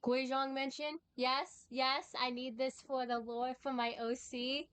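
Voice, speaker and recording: Clear-voiced, chatty woman streamer. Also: sits high, little pitch movement, measured pace, fairly bright tone. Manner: chatty, exclamatory, muttering asides. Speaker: game streamer. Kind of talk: casual live gameplay reaction.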